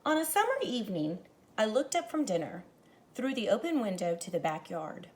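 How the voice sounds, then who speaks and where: Animated woman teacher reading aloud. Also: mid-range voice, a little fast. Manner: animated, dramatizes the story lines, addresses children directly. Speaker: teacher reading a picture book aloud to students. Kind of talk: expressive children's read-aloud with explanatory asides.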